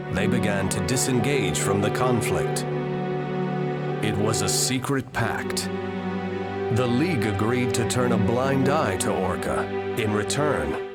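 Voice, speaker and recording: An actor playing a solemn narrator who recounts a war story in a video game, grave and measured. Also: male voice, mid-range voice, lightly acted. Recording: loud background music.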